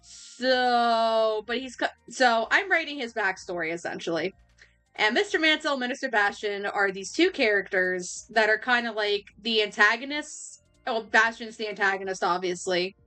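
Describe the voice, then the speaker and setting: Giggly, bright-voiced young woman streamer. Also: on the high side, animated, moderate pace, clean tone, soft background music. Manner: giggly, laughs often, rambling with restarts. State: losing her voice. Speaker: livestreamer. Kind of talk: casual chat.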